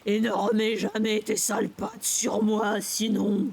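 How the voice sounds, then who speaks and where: A woman voicing a spiteful young sister in a storybook, hoarse, sneering and threatening. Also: medium pitch, flat delivery, darkish tone. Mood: enraged. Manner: threatening, aggressive, slow emphatic menace.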